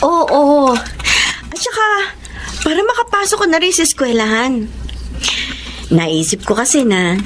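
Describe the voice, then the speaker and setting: Earnest, high-voiced Filipina older sister. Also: female voice, animated, a little slow, long pauses, slight background noise. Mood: gentle, determined. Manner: earnest, emotional. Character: hardworking, earnest older sister, resolved and sorrowful. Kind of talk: Tagalog radio drama acting.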